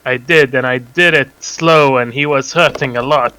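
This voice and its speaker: A man voicing a boastful, cruel villain from an amateur fan story, gloating in a deliberate, repetitive way. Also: medium pitch, fairly even intonation, sharp tone, smooth, dry recording, natural voice, rough recording.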